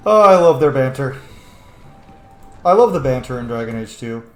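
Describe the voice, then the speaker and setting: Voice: medium pitch, animated, normal speed, soft background music. A game streamer giving casual, playful, informal commentary in murmured asides. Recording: roomy, faint noise.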